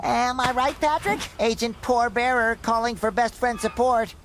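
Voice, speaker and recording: High, excitable cartoon sponge. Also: male voice, normal speed, noisy background. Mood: eager. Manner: eager, excitable, childlike. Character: cheerful naive young male sea sponge. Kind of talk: cartoon game voice acting.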